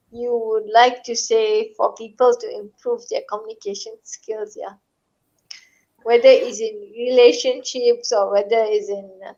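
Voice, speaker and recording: Slow, high-voiced woman host on dull audio. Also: a little mellow. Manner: slow, hesitant questions with many "uh"s. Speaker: co-host asking questions. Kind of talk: live online interview.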